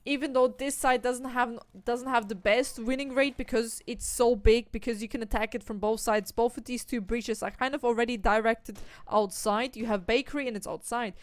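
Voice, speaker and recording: A young woman from Austria, commentating an esports match solo, breaking down tactics and lifting into excited calls on the kills. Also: on the high side, normal speed, hardly any pauses, no echo. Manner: analytical, rising to excited bursts on kills. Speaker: esports caster.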